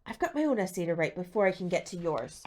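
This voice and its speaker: A woman's voice: fairly low pitch, sing-song, fast talker, a little mellow. A woman voicing an anxious, brainy teenage boy in an audiobook, with a nervous, pleading manner. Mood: panicky.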